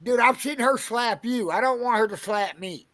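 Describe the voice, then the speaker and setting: A man's voice: very high voice, normal speed, fairly bright tone. A man, hosting a casual podcast chat, loose and swearing, laughing often. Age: 40s. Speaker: podcast host.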